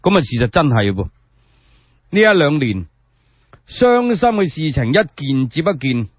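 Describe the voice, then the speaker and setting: Animated Cantonese male storyteller, husky voice. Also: fairly high pitch, no echo. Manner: expressive, measured, with rhetorical asides. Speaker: solo storyteller. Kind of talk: dramatic scripted narration, radio storytelling. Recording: dull lo-fi radio recording.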